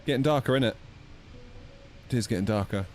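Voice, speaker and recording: A croaky man chatting casually with his viewers while flying a sim. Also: mid-range voice, brisk, a little mellow, a little hiss or hum. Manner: chatty, talks to chat readers. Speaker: streamer. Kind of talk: casual chat while flight simming.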